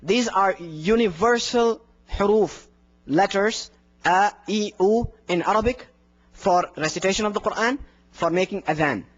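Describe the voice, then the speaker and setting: A man's voice: high voice, measured pace, highs cut off. A young man teaching a workshop on giving the call to prayer, explaining and demonstrating with his own voice into a handheld microphone indoors. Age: young adult. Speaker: adhan instructor. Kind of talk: workshop lecture. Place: indoor room in front of a plain wall. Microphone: handheld microphone plus lapel mic.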